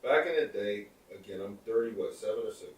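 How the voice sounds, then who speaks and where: A man's voice: lowish voice, animated, brisk. A man in his thirties co-hosting a podcast, rambling through his opinions in casual chat. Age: 30s. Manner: rambling, lots of "like" and restarts. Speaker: podcast co-host. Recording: echoey room, far from the mic.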